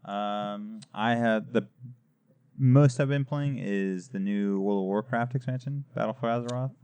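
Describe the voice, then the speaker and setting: A man's voice: fairly low pitch, a little slow, dark tone, clean tone, dry recording. A man co-hosting a casual gaming podcast, laid-back, often answering with short agreeing replies. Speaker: podcast co-host.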